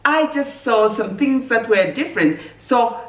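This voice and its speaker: A woman from Zambia, chatting casually on her vlog in a relaxed, measured way and laughing easily. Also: fairly high pitch. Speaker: vlogger. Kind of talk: casual chat. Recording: echoey room, mic at a distance.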